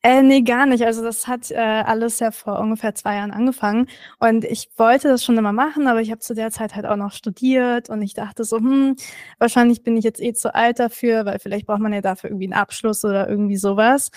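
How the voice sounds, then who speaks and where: A woman's voice: on the high side, fairly even intonation, quick pace, a little mellow, no echo, studio quality. Young woman, hesitant and friendly. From Berlin, Germany. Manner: friendly, hesitant, lots of "ähm". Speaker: guest, content creator. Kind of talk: casual interview answers. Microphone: remote recording from another room.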